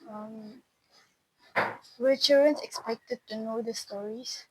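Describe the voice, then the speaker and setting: A woman's voice: a little high, fairly even intonation, takes it slowly, breathy edge, dry recording, poor audio. A woman conducting an interview, asking prepared questions politely.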